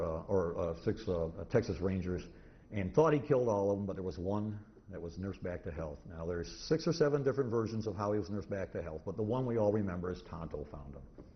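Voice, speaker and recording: A man giving an informal, rambling lecture to an audience, halting and restarting often as he goes. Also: low voice. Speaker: convention presenter, radio historian. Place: indoor convention talk room. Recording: muffled, noisy live convention room recording.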